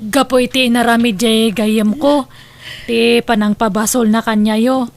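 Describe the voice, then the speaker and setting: A woman's voice: fairly high pitch, fairly even intonation, normal speed, close to an everyday voice. A woman with a Filipino Ilocano accent playing an outspoken, loyal young woman in an audio drama. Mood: apologetic. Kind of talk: Ilocano radio drama acting.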